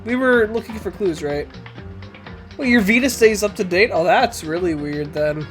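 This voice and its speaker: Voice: mid-range voice, normal speed. Stuffy-nosed streamer rambling to chat, faint music behind, kinda nasal. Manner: rambling, chatty, laughs often, talks to the chat. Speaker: Twitch streamer playing a video game. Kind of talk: casual live-stream chat with viewers.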